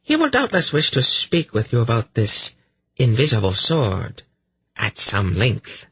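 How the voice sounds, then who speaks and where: A man plays a cruel high priestess in a fantasy audiobook. She is imperious and suspicious, with a husky voice pitched up and swinging widely as she questions with cold, sarcastic menace. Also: wildly swooping pitch, measured pace, fairly bright tone, no echo, exaggerated voice. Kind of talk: fantasy audiobook character acting.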